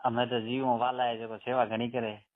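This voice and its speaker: A man's voice: mid-range voice, flat delivery, normal speed. A man, chatting casually and prodding with short questions. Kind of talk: casual phone chat. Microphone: phone line. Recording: narrow, tinny phone call recording.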